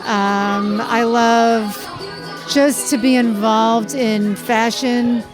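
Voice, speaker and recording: A woman who models and acts, answering interview questions in a measured, steady way. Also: a little high, music in the background. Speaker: model and actress.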